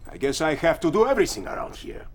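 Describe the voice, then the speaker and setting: An actor playing an arrogant, impatient, boastful Soviet general in a video game, with a Russian accent and a pompous, sneering delivery. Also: male voice, fairly high pitch, wildly swooping pitch, fast. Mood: scornful impatience. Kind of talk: dramatic video game acting. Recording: loud music and battle noise behind.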